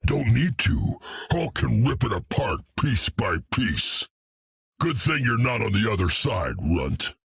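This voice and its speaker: A man voicing a hulking, cocky green brute in a video game, gruff and blunt, talking about himself in the third person with a gravelly, husky voice. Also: medium pitch, very animated, unhurried, fairly bright tone, dry recording, studio quality, full character voice. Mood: menacing. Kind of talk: video game character dialogue.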